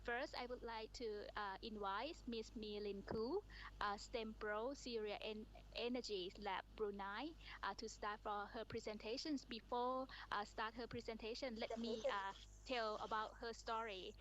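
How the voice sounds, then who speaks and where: A woman with a somewhat high voice moderates a conference session, introducing speakers one by one. Her speech is halting and full of fillers. A faint hum sits behind her voice. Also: fairly even intonation, fairly bright tone, muffled recording. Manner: halting, many fillers, reads titles.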